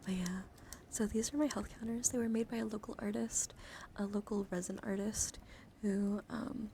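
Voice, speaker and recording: A woman chatting softly to livestream viewers in ASMR style, breathy and often whispering, with a gentle, soothing, intimate manner close to the mic. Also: medium pitch, fairly even intonation, moderate pace, a little hiss or hum. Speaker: live streamer hosting ASMR. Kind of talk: ASMR, casual chat with viewers.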